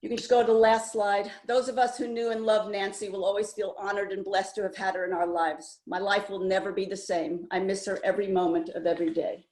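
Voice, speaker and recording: The voice is a woman in her seventies with a medium pitch, heard in an echoey room over an online call. She reads a prepared personal tribute in an earnest, heartfelt manner. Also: little pitch movement, fairly quick, few pauses, darkish tone. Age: 70s. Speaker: memorial speaker. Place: indoors on an online video call. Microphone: video call microphone.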